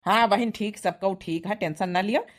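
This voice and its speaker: A practical matchmaking uncle from a village cartoon comedy, voiced by a woman speaks with an Awadhi accent. The pitch sits in a middling female range. The delivery is plain-spoken and reassuring. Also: moderate pace, natural voice. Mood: businesslike. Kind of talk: cartoon comedy dialect acting.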